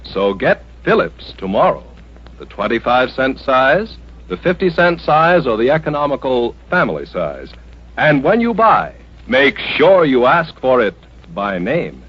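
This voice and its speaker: American male commercial announcer, vintage radio delivery, lively intonation, dull recording. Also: mid-range voice, a little slow, slightly rough. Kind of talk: old-time American radio advertising read.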